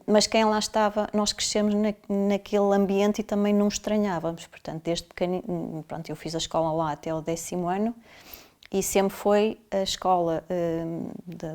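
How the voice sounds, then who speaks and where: A woman's voice: lowish voice, a little mellow. A middle-aged woman from South Africa, a family doctor, explaining her experiences in interview answers, with many fillers and restarts along the way.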